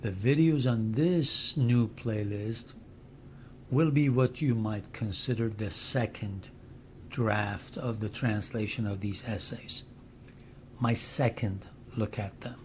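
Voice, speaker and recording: An older man from Iran with a Persian accent, speaking slowly and deliberately in an explanatory talk for his channel. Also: on the low side, a little hiss or hum. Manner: measured, deliberate, with pauses. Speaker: channel host and translator. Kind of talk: slow explanatory talk to camera.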